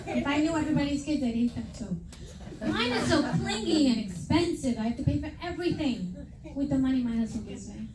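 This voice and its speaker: A woman's voice: sits high, sing-song, a little fast, far from the mic, very noisy. A middle-aged woman from New York doing a stand-up comedy set, brash and self-mocking, acting out the people in her stories. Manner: brash, self-mocking punchlines, quoting others in raised voices. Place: comedy venue with a live audience. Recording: echoey room, mic heard from afar, loud crowd laughter.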